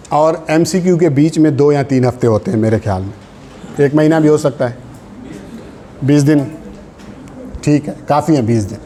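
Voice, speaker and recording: A man's voice: fairly high pitch, warm tone, a little hiss or hum. A man with a Pakistani accent giving informal exam coaching, instructive, mixing in English terms and checking in with short tags. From Sindh, Pakistan. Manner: switches into English terms and phrases. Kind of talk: informal classroom lecture with exam advice.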